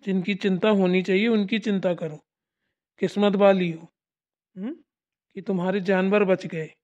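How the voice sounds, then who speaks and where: A steady older village father-in-law played by a performer calmly reassures his crying mother and brushes off the loss. He talks in an even, mid-pitched, soothing voice. The audio sounds dull and lo-fi. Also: moderate pace, natural voice.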